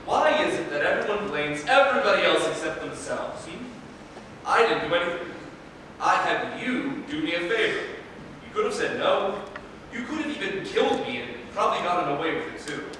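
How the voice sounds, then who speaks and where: A man's voice: high voice, lively intonation, normal speed, sharp tone, slight background noise. A man playing a sly, two-faced fairy-tale servant in a theatrical staged reading, with an insinuating manner. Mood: smug, mocking. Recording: very echoey hall from far off.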